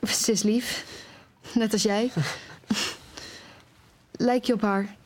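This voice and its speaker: High-voiced anxious young woman. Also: fairly even intonation, takes it slowly, a little mellow. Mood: nervous, caring. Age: young adult. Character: anxious, caring young female student, Noor. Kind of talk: audio drama acting.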